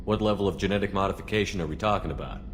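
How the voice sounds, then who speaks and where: Game lead: man as resolute military commander, very low, brisk delivery, courteous, faint background hum. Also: natural voice. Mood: matter-of-fact. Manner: brisk, composed, matter-of-fact. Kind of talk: video game dialogue acting.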